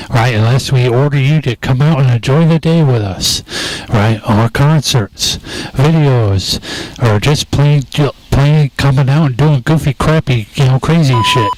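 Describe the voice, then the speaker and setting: A man hosting a radio show and interview in a casual, goofy, rambling way. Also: medium pitch. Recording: roomy, far from mic, noisy.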